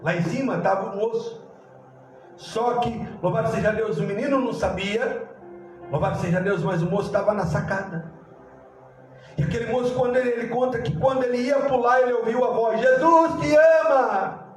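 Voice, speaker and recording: A man's voice: high voice, fairly even intonation, a little fast, a little mellow, very echoey, recorded from a distance, faint music behind. A man with a Brazilian accent preaching in a fervent Pentecostal style, telling stories with frequent interjections of praise. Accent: Brazilian Portuguese. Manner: fervent, repetitive praise interjections, acts out dialogue. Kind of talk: Pentecostal sermon with storytelling.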